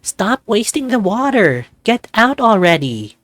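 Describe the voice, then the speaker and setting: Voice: mid-range voice, very animated, measured pace, a bit bright, dry recording, faint music behind, pitched above the performer's own voice. A performer, a man, voicing a bratty, impatient young sister in a furry visual novel, whiny and snappish. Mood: angry yelling. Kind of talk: visual novel character reading.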